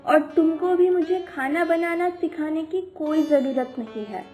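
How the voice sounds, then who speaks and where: A woman's voice: sits high, unhurried, dark tone, soft background music. A woman playing a whiny, indignant younger sister-in-law who complains sarcastically and melodramatically in a cartoon story. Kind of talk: Hindi animated moral story acting.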